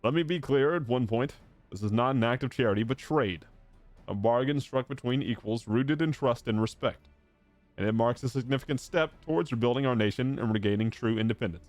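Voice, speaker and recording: Guy reading out a formal game statesman giving a measured speech, music behind. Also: mid-range voice, a little mellow, no room sound, lightly acted. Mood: solemn, proud. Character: formal, earnest statesman addressing his war-weary nation. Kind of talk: reading fantasy game dialogue aloud.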